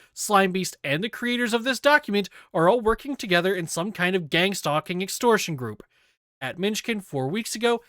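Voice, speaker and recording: A man reading a written document aloud in a deliberate, scripted narration style. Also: high-pitched, a bit bright, no echo, clean studio sound. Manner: deliberate, spells out quote marks aloud. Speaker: reader of an investigation document.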